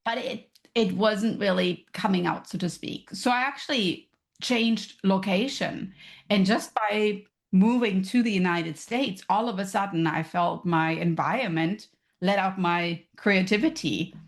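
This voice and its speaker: A woman's voice: mid-range voice, unhurried, creaky. A woman from Germany with a German accent, a podcast guest who explains her ideas thoughtfully, with examples. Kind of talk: casual interview chat.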